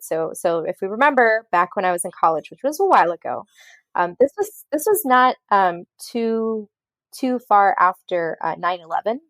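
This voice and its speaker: A woman's voice: medium pitch, a bit bright, no room sound. A woman in her thirties from Colombia with an American accent, a podcast guest telling her story in a rambling way with many fillers and restarts. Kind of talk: casual storytelling interview.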